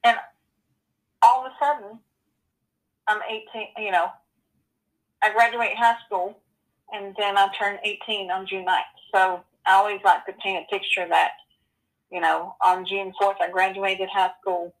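A woman in her forties telling her personal story as an interview guest, earnest and halting, with many ums and you-knows. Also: medium pitch, steady tone, low-quality recording. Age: 40s.

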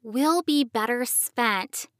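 A woman's voice: on the high side, takes it slowly. A woman giving casual, reassuring, explanatory advice as a podcast host. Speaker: podcast host, professional voice actor.

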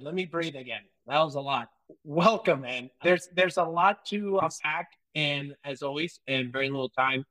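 Bright-voiced enthusiastic male podcast host. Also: on the high side, poor audio. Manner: enthusiastic, reads long intro then chats. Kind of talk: casual interview.

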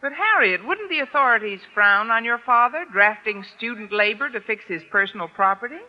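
A muffled old radio recording of an actress as a wisecracking woman schoolteacher. She has an American accent and a dry, articulate delivery with animated pitch. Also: a little fast, natural voice. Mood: wry. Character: wry, wisecracking high school English teacher. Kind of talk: 1940s-50s American radio comedy acting and narration.

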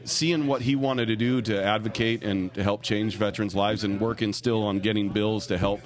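A man who works as a loan officer, talking casually at an event. He has a loud voice and speaks in a friendly, earnest way with some restarts. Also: on the low side, steady tone, normal speed. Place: room full of people at an event.

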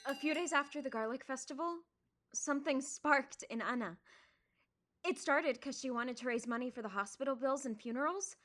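A woman's voice: high voice, moderate pace, fairly bright tone. A woman playing an earnest young girl who acts and narrates in an audio play, with a youthful voice. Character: Kitty, earnest young girl, Anna's friend.

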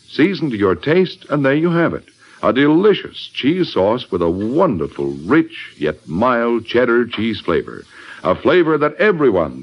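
An American man delivering a scripted old-time radio commercial as announcer, with a friendly, folksy sales manner. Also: medium pitch. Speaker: radio announcer, sponsor spokesman. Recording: dull lo-fi old radio recording.

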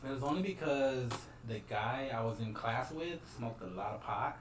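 A young man from Texas and Iowa, chatting casually among friends, lively and quick to laugh and tease. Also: medium pitch. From Texas and Iowa, USA. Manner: lively, laughs often, teasing. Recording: roomy, distant mic, faint hiss.

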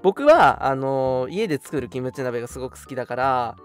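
A man's voice: mid-range voice, lively intonation, a little fast, faint music behind. A young man co-hosting a radio show, chatting casually and in an agreeable, responsive manner. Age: young adult. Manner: agreeable, frequent backchannels and laughter. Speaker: radio co-host.

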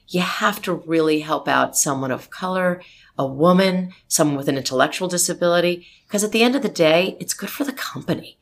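Low-pitched lady talking up her hopes, loud and emphatic, guest on an interview. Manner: emphatic, outspoken, optimistic. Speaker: marketing executive guest. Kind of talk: interview conversation.

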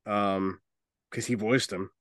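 A man's voice: on the low side, fairly even intonation, slow, fairly bright tone, no room sound, clean studio sound. A man co-hosting a podcast, chatting casually with enthusiasm, jokes and swearing. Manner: enthusiastic, joking. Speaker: podcast co-host. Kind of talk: casual, profane pop-culture banter.

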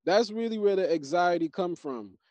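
A man in casual chat, animated and profane, riffing on his points with slang and repetition. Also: high-pitched, normal speed, no echo, highs cut off.

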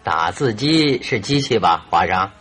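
An actor playing a teasing, self-assured male detective in a Mandarin radio drama, with a husky voice. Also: lowish voice, expressive, a little slow, a bit bright, soft background music, heavily acted. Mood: amused. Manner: chuckling, teasing, theatrical swings in delivery.

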